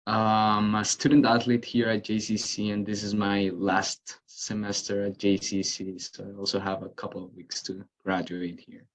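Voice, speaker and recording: Low, flat-voiced young Colombian man. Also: moderate pace, hardly any pauses. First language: Spanish. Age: young adult. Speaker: college student athlete.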